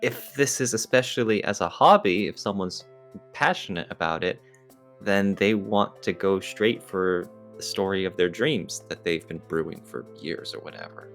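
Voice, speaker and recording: A man's voice: low voice, lively intonation, moderate pace, fairly bright tone. A man speaking casually as a podcast co-host in a conversational discussion. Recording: music mixed under the voice. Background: music.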